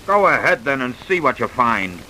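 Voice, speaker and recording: An actor playing a humble, evasive ex-convict carpenter with folksy American speech in an old-time radio crime drama. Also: male voice, mid-range voice, big pitch swings, normal speed, pushed and bright, low-quality recording. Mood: indignant. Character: male paroled ex-convict carpenter, humble, evasive under questioning. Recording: hissy vintage radio recording.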